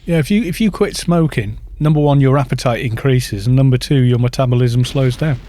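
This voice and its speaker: A man's voice: medium pitch, fairly quick, mellow. A British man in his fifties chatting in a rambling way while streaming a game and reading out viewer chat. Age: 50s. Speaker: gaming streamer.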